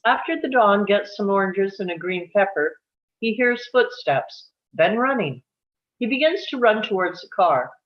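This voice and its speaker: Woman, narrator, reading stage directions, middle pitch, slightly muffled lo-fi recording. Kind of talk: scripted narration at a table read.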